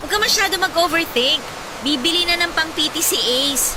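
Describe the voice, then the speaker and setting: A woman's voice: very high voice, brisk, heavy background noise. A woman acting a cheerful, supportive, playfully teasing young best friend in a radio drama. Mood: reassuring. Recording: loud music over the voice. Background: loud music.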